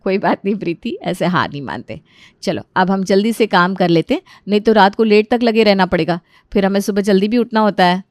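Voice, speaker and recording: A woman voicing a patient elder daughter-in-law in a storybook tale, gently reassuring and soothing. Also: medium pitch, lively intonation, fairly quick, no room sound, studio quality, lightly acted.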